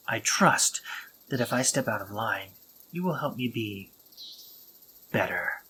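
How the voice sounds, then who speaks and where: A man voicing a sardonic but tender spider-monster man, speaking in a soft, breathy half-whisper in an intimate audio roleplay. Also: fairly low pitch, a little hiss or hum, low-quality recording. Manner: gentle, dry and teasing, intimate.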